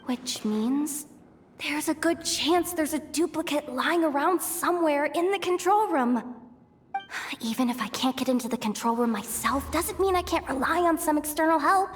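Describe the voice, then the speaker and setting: A woman's voice: high voice, lightly acted. A woman playing a feisty, self-reliant young hacker who mutters theatrically to herself in a video game. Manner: exasperated, theatrical muttering and self pep talk. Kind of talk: animated video game voice acting.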